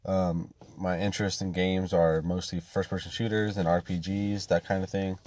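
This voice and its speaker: Brief: male podcast host, low mellow voice, dry close sound, hesitant delivery. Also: flat delivery, no echo. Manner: hesitant, halting with fillers. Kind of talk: casual chat.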